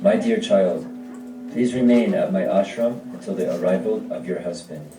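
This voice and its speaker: In a roomy, distant recording over music, a grave and kindly celestial sage played by a man speaks in a very deep voice. The delivery is solemn and deliberate, as in a devotional puppet show. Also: lightly acted. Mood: gentle. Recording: roomy, distant mic, loud music behind.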